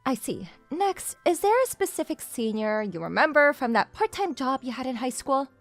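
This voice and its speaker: A woman's voice: high voice, big pitch swings, moderate pace, soft background music. A woman playing a composed company chief in a manga dub, formal and probing, with a teasing streak.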